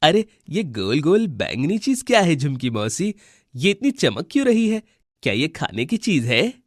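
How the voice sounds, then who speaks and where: A man voicing a young male bird in a children's animal cartoon, with an animated, exclaiming delivery. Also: on the high side, normal speed, no echo, clean studio sound. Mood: curious.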